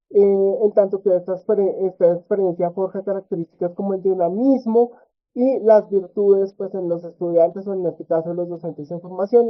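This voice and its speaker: A man presenting research at an academic conference, speaking in a measured way with frequent fillers and tag questions. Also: high-pitched. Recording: tinny phone-like audio.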